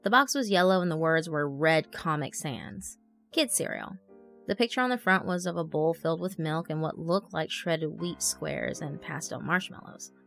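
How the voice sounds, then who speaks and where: A woman narrating a horror story as a young female blogger in her twenties, conversational, wry and expressive, telling of being haunted. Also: low-pitched, normal speed, creaky. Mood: uneasy. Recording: music under the voice. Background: background music.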